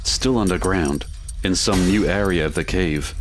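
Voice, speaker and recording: A man narrating a scripted gothic audio drama as a measured, descriptive storyteller. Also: sits low, slight background noise.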